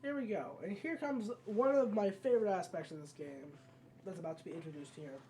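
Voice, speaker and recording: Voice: mid-range voice, a little fast, warm tone, a little hiss or hum. A let's play host giving casual, rambling, conversational commentary over a video game.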